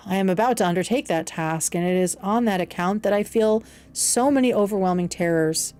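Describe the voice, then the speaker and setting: A woman's voice: medium pitch, moderate pace, a little mellow, lightly acted. A woman voicing a lonely male outcast monster in an audiobook, eloquent, formal, earnest and anxious. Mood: earnest, troubled. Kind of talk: audiobook character dialogue.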